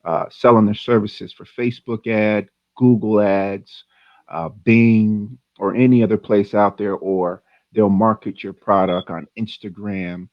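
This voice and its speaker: Male podcast co-host, low-pitched, relaxed and rambling; dry, dull lo-fi audio. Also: measured pace, no room sound. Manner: easygoing, chatty, long rambling questions. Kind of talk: casual interview hosting.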